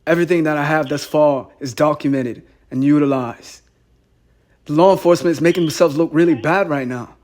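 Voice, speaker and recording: A man's voice: fairly high pitch, fairly quick, a little mellow. A man calling in, earnest and insistent, often repeating himself. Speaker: caller. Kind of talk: phone call.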